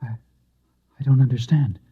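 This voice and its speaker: Lost earnest Martian, rough-edged husky voice, halting, insistent, vintage radio drama, muffled recording. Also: male voice, medium pitch, fairly even intonation, dark tone. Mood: bewildered. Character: earnest, bewildered unstable Martian in a husband's body. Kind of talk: mid-century radio drama acting. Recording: dull lo-fi old radio recording.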